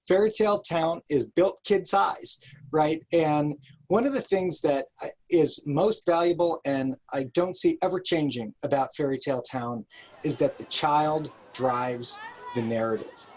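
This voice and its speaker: Earnest, deliberate interview guest. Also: mid-range voice, darkish tone, low-quality recording. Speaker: director of a children's park, interviewed guest. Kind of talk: thoughtful interview answers.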